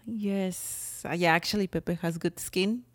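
A woman's voice: fairly low pitch, unhurried, dry recording. A woman from Mexico with a Mexican accent, co-hosting a casual podcast chat and teasing her partner with frequent laughs. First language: Spanish. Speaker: podcast co-host.

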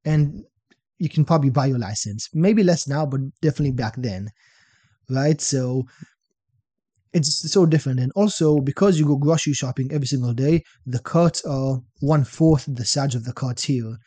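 A young man from Israel with an Israeli accent, chatting as a podcast guest and telling stories in a relaxed way, often ending points with "right?". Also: mid-range voice, moderate pace, husky, no echo. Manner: relaxed storytelling, tags statements with "right?". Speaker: podcast guest, fitness coach. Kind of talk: casual interview chat.

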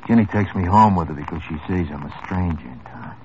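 An actor playing a small-time hoodlum in an old radio drama, tough, wry and world-weary. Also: male voice, deep voice, animated, brisk, a little hiss or hum. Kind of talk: 1950s radio drama acting. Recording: muffled old radio broadcast.

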